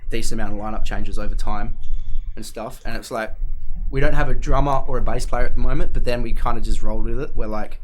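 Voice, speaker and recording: A man's voice: mid-range voice, fairly even intonation, fast talker, slight background noise. A man in his twenties with a Perth Australian accent, a musician guest talking frankly and casually on a podcast, full of "like". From Perth, Western Australia. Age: 20s. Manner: laid-back, frank, heavy filler "like".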